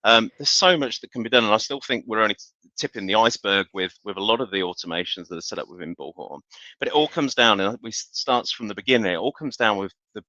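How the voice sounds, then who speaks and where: A man with a low but bright, forceful voice talks shop in a live business discussion. He feels his way through sentences, restarting and hunting for words. The audio is dry and slightly dull, like a compressed webcast. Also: expressive, no echo. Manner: halting, self-correcting, searching for words. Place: online live stream.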